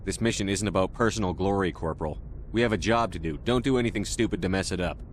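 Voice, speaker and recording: An actor playing a disciplined, no-nonsense military commander in a video game, terse and firm. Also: male voice, low-pitched, fast, a little hiss or hum, natural voice. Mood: stern. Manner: terse, firm, matter-of-fact. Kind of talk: video game cutscene acting.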